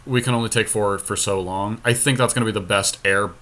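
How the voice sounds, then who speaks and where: A man's voice: on the low side, steady tone, fairly quick, fairly bright tone. A man casually commentating on a game he is playing, thinking aloud and talking options through with a partner. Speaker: podcast co-host playing an online card game.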